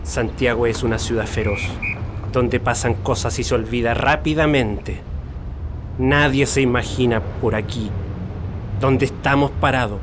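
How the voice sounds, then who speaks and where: A man's voice: medium pitch, unhurried, close to an everyday voice. An actor with a Chilean accent playing a middle-aged man who solemnly remembers a dead young man in a radio drama. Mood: solemn, mournful. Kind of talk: radio drama acting, reminiscing. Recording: noisy outdoor street ambience with traffic. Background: city street and vehicle noise.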